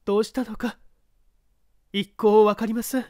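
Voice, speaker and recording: A woman playing an uneasy widow who gives polite, measured, formal answers in a dramatised mystery story reading. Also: medium pitch, level tone, dark tone, dry recording, clean studio sound, lightly acted. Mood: puzzled, earnest. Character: uneasy widow testifying before an examining judge.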